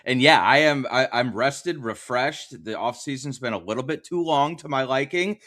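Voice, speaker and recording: A man's voice: medium pitch, normal speed, fairly bright tone. A man who works as a play-by-play announcer, chatting casually about sports as an interview guest in a wry, jokey way.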